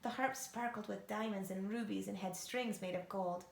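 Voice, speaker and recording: Female children's storyteller: expressive narration, noticeable vocal fry, roomy recording. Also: mid-range voice, normal speed. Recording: echoey room.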